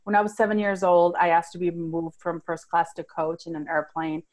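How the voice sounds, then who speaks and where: A woman's voice: fairly low pitch, steady tone, fairly quick, darkish tone. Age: adult. Sex: woman. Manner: reflective, earnest. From Venezuela. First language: Spanish. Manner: earnest and reflective, many restarts and "right?" tags. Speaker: guest. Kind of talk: personal storytelling in an interview.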